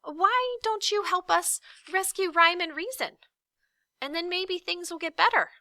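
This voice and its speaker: Small storybook boy, played by a woman, high bright voice, meek, earnest, pleading. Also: a little slow, dry recording, clean studio sound, heavily acted. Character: small boy, polite, meek but quick-thinking. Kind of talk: children's storybook read-aloud.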